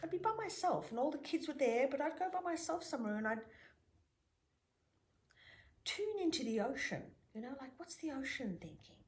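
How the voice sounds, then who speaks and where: A middle-aged woman whose mother tongue is Greek, telling reflective stories in an interview with emphatic rises and soft whispered asides. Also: sits high, lively intonation, moderate pace, pauses often. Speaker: guest, spiritual healer.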